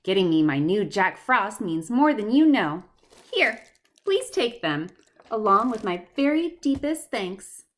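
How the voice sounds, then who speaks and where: A woman's voice: mid-range voice, sing-song, unhurried. A woman playing an effusive, polite society lady in a 1950s-style detective radio play, with a mannered delivery. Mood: gushing.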